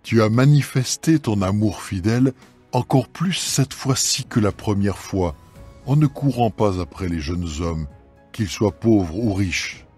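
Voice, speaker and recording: A man's voice: on the low side, expressive, measured pace, a little mellow, slightly rough, faint music behind. A man playing a kindly, honourable mature landowner, solemn and reassuring, in a dramatised scripture reading. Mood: solemn reassurance.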